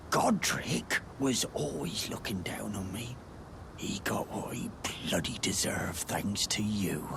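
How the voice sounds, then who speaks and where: A sneering British lackey from a fantasy game. His half-whispered voice drags slowly over each phrase and swoops about with spite and mockery. Ambient noise sits clearly behind him. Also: mid-range voice, exaggerated voice. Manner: sneering, drawn-out, mocking delivery. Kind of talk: dramatic fantasy game acting.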